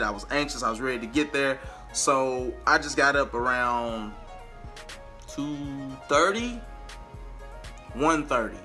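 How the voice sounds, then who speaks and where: An American man telling a story in a casual, slangy vlog style, chatty and animated, with drawn-out emphasis and repetition. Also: fairly high pitch. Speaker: vlogger and amateur singer.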